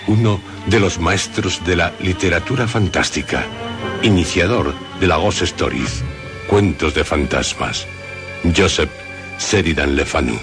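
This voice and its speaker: Male radio host, deep register; dramatic scripted storytelling with measured pauses over clear music. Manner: deliberate, theatrical phrasing with suspenseful pauses. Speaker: radio show host and narrator.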